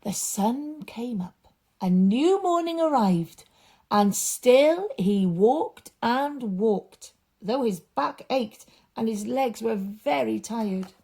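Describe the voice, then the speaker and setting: A woman storyteller speaks to children in a highish, lively voice. Her phrasing is deliberate and dramatic, and a husky breathiness softens it, often into a hush. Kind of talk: expressive story reading and gentle teaching for children.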